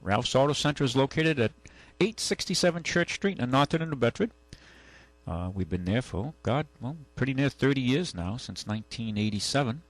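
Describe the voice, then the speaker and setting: A man's voice: mid-range voice, big pitch swings, normal speed, dry recording, poor audio. An older man hosting a call-in radio talk show, rambling and folksy with many restarts. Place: radio studio.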